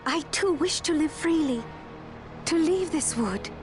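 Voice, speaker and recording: Earnest young forest-dweller over music. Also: female voice, sits high, lively intonation, measured pace. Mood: pleading. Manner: formal, slightly archaic phrasing. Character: earnest, restless young woman of a woodland race. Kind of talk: dramatic fantasy game acting. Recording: loud orchestral music behind.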